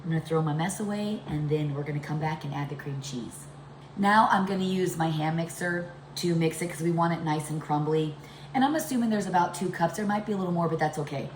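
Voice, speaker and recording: A middle-aged woman hosting a casual home cooking demonstration, her voice congested and deepened by a chest cold. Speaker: home cooking video host. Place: indoors, home kitchen. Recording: echoey room with background noise.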